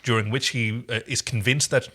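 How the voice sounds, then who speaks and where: Guy from europe on a podcast, middle pitched, geeking out casually about tv, goes off on tangents. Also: fairly even intonation, normal speed, bright, forceful tone. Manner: enthusiastic, digressive. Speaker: podcast co-host. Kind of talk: casual TV episode recap chat.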